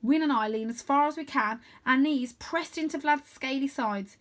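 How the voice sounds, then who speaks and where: Female storyteller, slightly high voice, expressive and dramatic children's book narration. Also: normal speed. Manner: dramatic, animated emphasis. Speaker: storyteller reading aloud.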